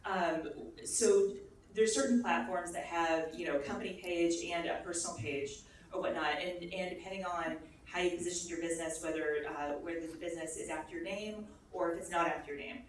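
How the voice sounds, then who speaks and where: A woman moderating a business panel, asking a question in a halting way with many pauses. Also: on the low side, a little hiss or hum. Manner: halting, many ums and uhs. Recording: echoey hall, mic far off.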